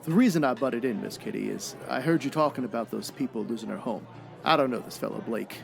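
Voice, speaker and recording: A man playing a courteous, soft-hearted drifting gambler in a radio western, gravelly, with an American accent and an earnest, halting way of talking. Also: medium pitch, over music. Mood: earnest, hesitant. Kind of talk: old-time radio western acting.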